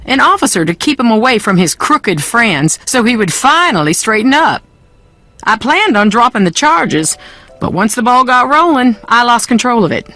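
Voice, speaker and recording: A defensive, sharp-tongued young woman in a video game. She speaks dryly and pointedly with wide swings of intonation, while faint music plays behind her. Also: on the high side, big pitch swings, normal speed. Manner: dry, pointed. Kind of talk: video game character dialogue.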